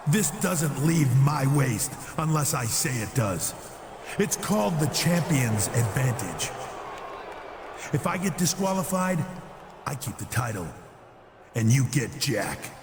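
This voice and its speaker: A man's voice: mid-range voice, background noise. A man playing an arrogant, threatening wrestling champion cutting a taunting promo in a video game. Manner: cocky, menacing, taunting. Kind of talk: wrestling promo trash talk.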